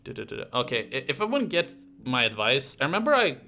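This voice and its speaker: A man chats casually on a live stream, rambling and halting as he answers viewers. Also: medium pitch, sing-song, vocal fry, bright, forceful tone. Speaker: YouTube history creator hosting a live stream.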